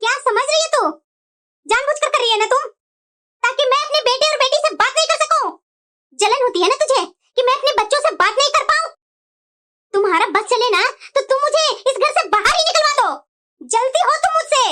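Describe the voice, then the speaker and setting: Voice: extremely high pitch, lively intonation, brisk, pushed and bright, heavily acted. A performer voicing a doting, scheming middle-aged mother-in-law in a dramatic family cartoon, drawing out the coddling and complaints. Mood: scolding, resentful. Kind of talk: Hindi family moral-story cartoon voice acting.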